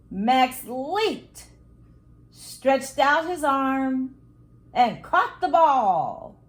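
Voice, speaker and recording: Very slow, expressive woman storyteller. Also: fairly high pitch. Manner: deliberate, dramatic phrasing with acted sound words. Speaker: children's storyteller. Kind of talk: expressive picture-book read-aloud. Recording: echoey, roomy sound.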